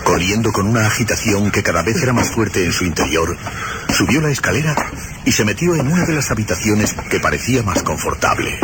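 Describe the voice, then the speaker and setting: Male narrator for horror radio drama: very low, expressive, solemn, set against loud rain and storm noise. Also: fairly quick. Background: rain and storm ambience.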